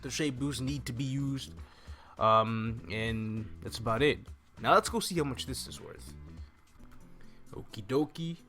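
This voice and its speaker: Young guy doing a chill game walkthrough, bright forward voice, close mic, bit of music behind him. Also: medium pitch, measured pace, pauses often. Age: young adult. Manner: informal, mildly profane, talking through each step. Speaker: gaming video host. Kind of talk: casual game walkthrough commentary. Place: indoor room at home. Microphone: desk microphone close to the mouth. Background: faint game music.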